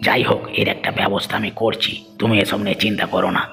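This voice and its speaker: A man's voice: lowish voice, little pitch movement, quick pace, creaky, bright, forceful tone, soft background music. A man voicing a rich villager's scheming henchman and henpecked husband in a cartoon, blustering and defensive. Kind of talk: Bengali moral-story cartoon dubbing.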